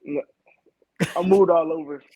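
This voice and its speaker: A young man from Florida, a college football player, answering interview questions in a relaxed way. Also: a little high, a little slow, a crowd in the background, rough recording. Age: young adult. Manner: relaxed, laid-back, many fillers like "like" and "man".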